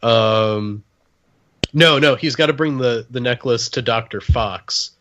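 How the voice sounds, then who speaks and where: Slow-talking man riffing on a podcast. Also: medium pitch, fairly bright tone, highs cut off. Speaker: podcast panelist. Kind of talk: casual comic podcast chat.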